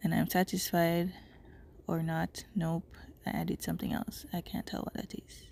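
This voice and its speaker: A young Jamaican woman with a low, gravelly voice and a slightly stuffy nose talks through her cooking in a rambling, chatty way. She is recorded in a home kitchen on a camera set a few steps away, with a faint hum of room noise behind her. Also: flat delivery, a little slow, a little mellow. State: stuffy from a cold. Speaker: cooking vlogger. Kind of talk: casual narration over cooking footage. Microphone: camera mic from across the kitchen.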